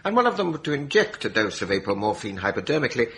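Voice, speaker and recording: Radio drama barrister: probing and exact, medium-pitched, with animated rise and fall on formal questions. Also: male voice, quick pace, slight background noise. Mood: formal, measured. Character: probing male defence barrister, formal and insistent. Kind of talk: radio courtroom drama acting.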